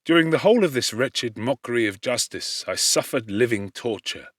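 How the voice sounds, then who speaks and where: A man's voice: mid-range voice, no room sound. Solemn male audiobook narrator, dry sound. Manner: solemn, expressive, measured storytelling. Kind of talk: dramatic literary audiobook narration.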